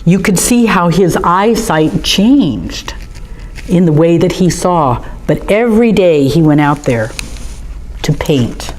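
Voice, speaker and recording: Female storytime host, middle-aged, low-ish voice, unhurried and gentle, eager with the kids, faint hiss behind. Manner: gentle and enthusiastic, showing pictures to children. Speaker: storytime reader at an art museum. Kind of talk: storytime talk for children.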